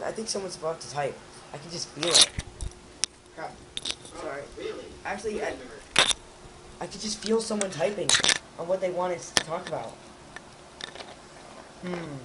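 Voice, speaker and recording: A young man with a raspy, hoarse voice from a cold, rambling in casual chat as a channel co-host. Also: fairly high pitch, very noisy. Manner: rambling, restarts often.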